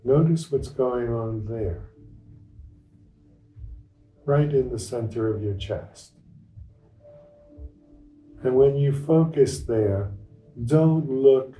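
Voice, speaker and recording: A man leading a guided meditation as a teacher, speaking gently and without hurry in short phrases with long pauses. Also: medium pitch, faint music behind. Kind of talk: guided meditation, unscripted and instructional. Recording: roomy echo, distant microphone.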